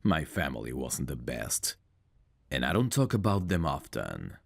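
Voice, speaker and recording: Male actor as smooth champion fighter, slow measured delivery, confident flirt, intimate audio roleplay, very dry close sound. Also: a bass voice, sing-song, no echo, heavily acted. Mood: guarded, candid. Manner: slow, deliberate, teasing and intimate. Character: big confident champion fighter, smooth, flirtatious, guarded about his past.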